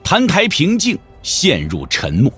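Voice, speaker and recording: A man's voice: on the high side, huge pitch swings, unhurried, a bit bright, faint music behind. A man narrating a martial arts audio drama in a scripted, dramatic storytelling style.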